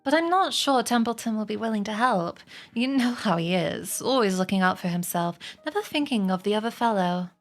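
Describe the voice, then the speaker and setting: A woman voicing a clever, kindly female spider, brisk and articulate, in a storybook. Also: medium pitch, animated, lightly acted. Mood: businesslike.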